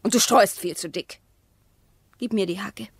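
An actress playing a sceptical, nagging wife in a loveless marriage in a German radio play, speaking precisely and argumentatively. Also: female voice, mid-range voice, normal speed, vocal fry, breathy edge, no room sound. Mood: needling.

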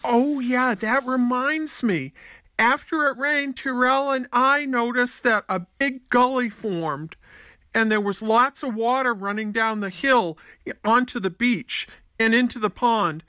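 A helpful fifth-grader from a puppet show , voiced by a woman. The voice is high and bright, with slow, deliberate scripted delivery and a dry, close sound. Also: dry recording.

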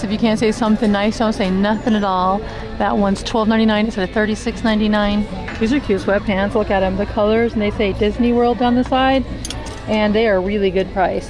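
A woman filming herself in a big indoor store on a body camera, eagerly gasping over finds and reading out prices. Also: medium pitch. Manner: excited, gasps at finds, reads out prices. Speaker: vlogger showing outlet deals. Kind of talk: casual walk-through shopping vlog. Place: large indoor retail store. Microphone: body-worn action camera. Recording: music playing in the store behind her. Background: store music.

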